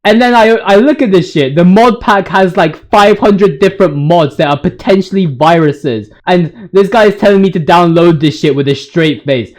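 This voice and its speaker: A man's voice: high-pitched, moderate pace, hardly any pauses. A young man giving casual video game commentary in a hyped, slangy, rambling manner. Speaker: video host.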